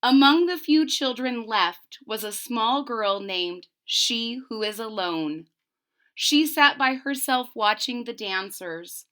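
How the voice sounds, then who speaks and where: A woman reading a children's story aloud expressively, deliberately, with explanatory asides to young listeners. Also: fairly high pitch, expressive, fairly bright tone.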